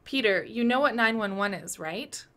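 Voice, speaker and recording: A woman's voice: fairly high pitch, animated, moderate pace, a bit bright. A woman playing a commanding, protective woman in charge in a radio drama, firm and authoritative. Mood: stern. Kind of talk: radio play acting.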